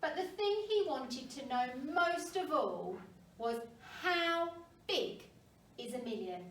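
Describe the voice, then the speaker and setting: A woman reading a children's storybook aloud with expressive narration, as a primary school story reader. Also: high-pitched, slow. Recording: roomy, distant mic, faint noise.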